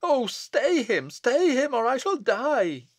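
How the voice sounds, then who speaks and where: A man's voice: very high-pitched, big pitch swings, slow pace, no echo, studio quality, voice pushed up, heavily acted. A man voicing a fierce, frantic peasant witch with impassioned, shrieking archaic speech in a gothic storybook. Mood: desperate, pleading.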